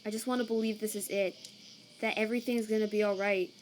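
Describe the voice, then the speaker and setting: A woman's voice: mid-range voice, steady tone, a little hiss or hum, natural voice. A laid-back male cartoon skeleton acted out by a woman talks in a middling register. He is slangy and protective, with a weariness that makes him halt over his words. Night insects chirp faintly behind him. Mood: shaken, resolute. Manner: casual, slangy, halting when troubled. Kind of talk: comic dub acting. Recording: cricket night ambience behind.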